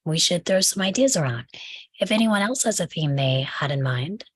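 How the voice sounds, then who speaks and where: A woman playing a poised, competitive bridesmaid, politely condescending, with a husky voice, in a comedy table read. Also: fairly low pitch, expressive, normal speed.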